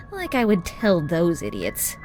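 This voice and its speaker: A woman's voice: fairly low pitch, wildly swooping pitch, slow pace, a little mellow, faint music behind, heavily acted, rough recording. A woman playing a cheeky, snide young agency underling in a visual novel game, casual and sly. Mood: mocking.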